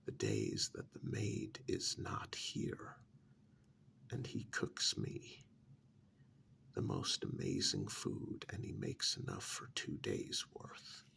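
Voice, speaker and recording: A man playing a smug, pampered captive in a soft-spoken ASMR role-play, drawling sardonically with long pauses and feigned innocence. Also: very deep voice, big pitch swings, heavily acted. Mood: smug, boastful. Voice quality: soft, half-whispered, gravelly.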